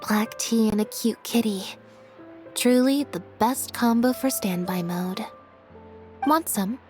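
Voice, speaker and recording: A woman voicing a calm, understated young woman in a video game, speaking in a measured deadpan. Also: fairly high pitch, soft background music. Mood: calm, relaxed.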